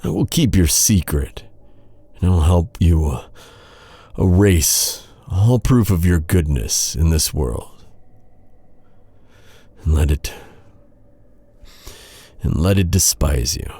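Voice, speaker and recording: A man playing a guilt-ridden, devoted knight in a dark fantasy audio roleplay, speaking in an earnest, dramatic, halting way. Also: low voice, expressive, slightly rough, exaggerated voice. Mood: solemn, devoted. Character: brooding, guilt-ridden male knight, bitter yet tenderly devoted.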